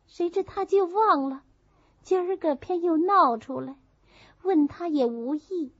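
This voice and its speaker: Lady reader doing a meek high voiced young miss, hesitant n resigned, audiobook, slightly muffled. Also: measured pace, a bit bright. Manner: hesitant, passive.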